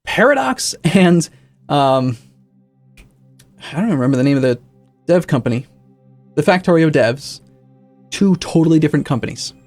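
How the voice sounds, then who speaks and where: A man's voice: fairly high pitch, lively intonation, moderate pace, long pauses, darkish tone. Rambling man streaming over faint music. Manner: rambling, self-correcting, reads and answers chat. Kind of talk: casual live-stream chatter while setting up a game.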